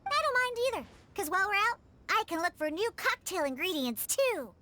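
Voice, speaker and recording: A woman voicing a lively, cheerful and eager little girl character in an anime video game. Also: very high voice, fairly quick, exaggerated voice. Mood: upbeat.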